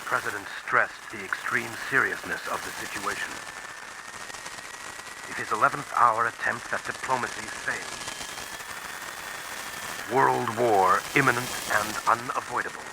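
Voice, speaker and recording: An actor playing a solemn, urgent radio newsreader in a drama, clipped, through a crackling distant radio. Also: male voice, on the low side, a little slow, gravelly, long pauses. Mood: grave, urgent. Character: grave male radio newsreader announcing a crisis. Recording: noisy crackling radio broadcast.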